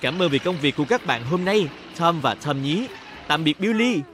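High-voiced man narrating a kids' cartoon. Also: lively intonation, normal speed, fairly bright tone. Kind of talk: scripted narration for a children's cartoon, talking to the characters. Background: music.

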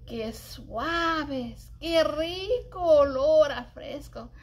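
A middle-aged woman reading a children's poem aloud in an expressive, singsong, deliberate way, as a story-time librarian. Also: high-pitched, a little hiss or hum. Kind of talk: animated read-aloud of a children's cooking poem. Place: indoors, public library children's area.

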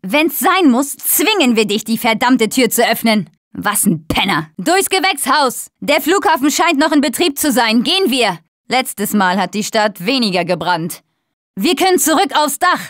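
A woman's voice: on the high side, very animated, normal speed, fairly bright tone, no echo, studio quality. An actress voicing a tough, wry young female survivor of a zombie apocalypse in short video game callouts. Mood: determined, wry.

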